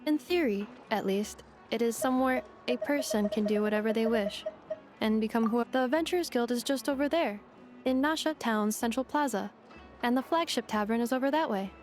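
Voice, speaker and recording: A woman voicing a polite female robot guide in a video game, formal, measured and analytical. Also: on the high side, lightly acted. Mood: composed, helpful. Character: polite, precise young female robot guide, literal and composed. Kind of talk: video game character dialogue. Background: music.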